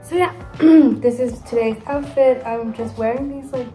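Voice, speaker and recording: A young woman talks casually into a phone held at arm's length at home, chatty and upbeat. Her throat is slightly sore from a cold she is getting over. Background music plays clearly underneath her voice. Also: fairly high pitch, measured pace. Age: young adult. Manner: chatty, upbeat, lots of "so yeah" and "like". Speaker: student vlogger. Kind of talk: casual day-in-the-life vlog. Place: home room with blinds and a bathroom. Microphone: phone or camera at arm's length.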